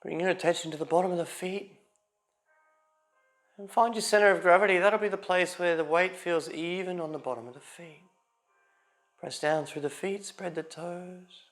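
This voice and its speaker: A man leading a guided yoga class as instructor, giving short, calm, unhurried spoken cues. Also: sits high, soft background music.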